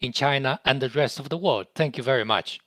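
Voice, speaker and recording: An interpreter rendering a formal speech, steady with occasional self-corrections. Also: mid-range voice, brisk, dry recording. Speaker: simultaneous interpreter.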